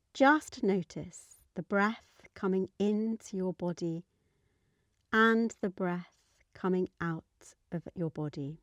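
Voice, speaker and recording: A woman leading a guided meditation in a soothing, gentle manner, letting her phrases trail off softly. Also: mid-range voice, no echo.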